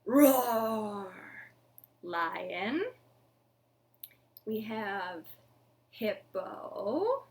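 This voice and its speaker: A woman in her thirties hosting an interactive storytime for young children, with expressive, sing-song delivery and exaggerated reactions. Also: mid-range voice, extremely slow, long pauses. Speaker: library storytime host. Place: living room at home.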